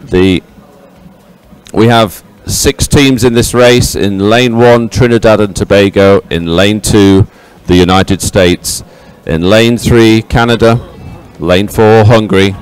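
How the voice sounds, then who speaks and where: A man giving measured, explanatory live sports commentary on a race. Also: lowish voice, fairly even intonation, clean tone, soft background music, low-quality recording.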